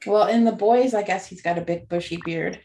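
A woman co-hosting a podcast in casual chat, relaxed, with short replies. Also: lowish voice, brisk. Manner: relaxed, brief replies, wraps up the show. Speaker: podcast co-host.

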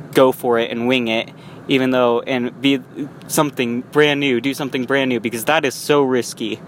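A man's voice: mid-range voice, fairly bright tone. A young man talking casually while driving, rambling with frequent restarts and small laughs. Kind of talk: casual vlog monologue. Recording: faint road noise.

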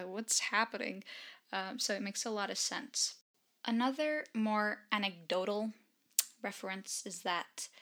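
A woman's voice: medium pitch, fairly bright tone. A woman giving casual solo film commentary in an enthusiastic, emphatic and informal, chatty way. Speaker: film reviewer.

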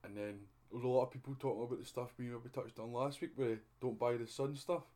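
Brief: Scottish male podcast host, mid-pitched, laid-back chat, trails off quietly. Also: steady tone, quick pace. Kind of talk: casual chat.

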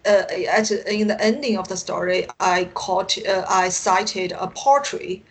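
A woman's voice: medium pitch, a little slow. A woman from China, a native Mandarin speaker with a Chinese accent, talks casually as an interview guest, hesitant and often chuckling. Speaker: guest author. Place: Skype internet call.

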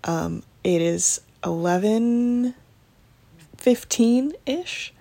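A woman in her twenties hosting a casual solo podcast from her bedroom late at night, talking low and hushed with lots of ums and restarts. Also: lively intonation, very slow. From United States. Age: 20s. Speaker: podcast host.

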